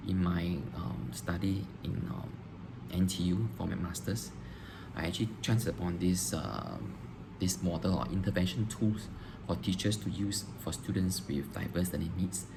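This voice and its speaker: A man, a teacher answering interview questions on video, pausing often with ums and restarting phrases. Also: low-pitched, gravelly. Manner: hesitant, frequent ums and restarts. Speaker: teacher applying for a job. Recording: noisy background hiss.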